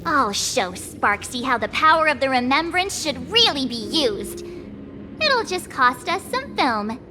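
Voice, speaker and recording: A woman's voice: high voice, sing-song, a little slow. A woman voicing a perky, dramatic and determined teenage girl in an anime-style game, with girlish, emphatic delivery. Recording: music behind the voice. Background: music.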